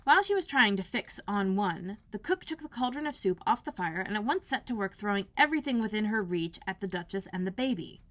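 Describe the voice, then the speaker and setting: A woman librarian reading a children's storybook aloud in an expressive narrating style. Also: medium pitch, fairly quick, hardly any pauses.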